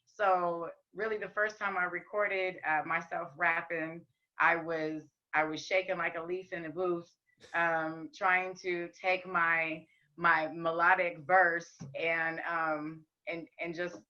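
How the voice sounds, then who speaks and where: A woman with a deep, raspy voice, talking candidly as a podcast guest with frequent ums and restarts. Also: little pitch movement, takes it slowly, pushed and bright, dull audio. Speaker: podcast guest, rapper and singer. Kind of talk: casual interview chat.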